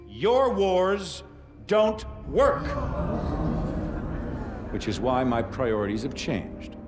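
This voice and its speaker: A man playing an arrogant, menacing corporate military chief who gives a formal, defiant speech in a deliberate, commanding way. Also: fairly high pitch. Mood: cold, defiant. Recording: cinematic music behind.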